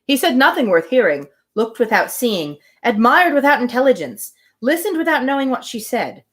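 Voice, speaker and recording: Animated woman reading aloud. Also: a little high, normal speed. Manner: chatty, wry commentary between passages. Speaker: host of a live-streamed novel reading. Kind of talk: reading aloud with casual asides.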